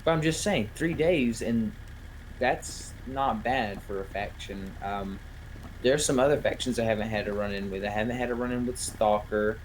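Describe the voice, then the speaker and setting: Hesitant man with mid-pitched voice. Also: normal speed, a little hiss or hum, rough recording. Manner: hesitant, rambling. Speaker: new roleplay player. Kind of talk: casual in-game chat.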